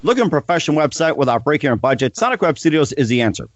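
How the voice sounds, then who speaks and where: A man hosting a podcast, his voice sitting a little high for a man. He reads plugs and introductions in a halting way, stumbling through ums and restarts. The sound is dry and dull, like a lo-fi home recording. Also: sing-song, dry recording. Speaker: podcast host. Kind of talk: casual interview and self-read sponsor spots.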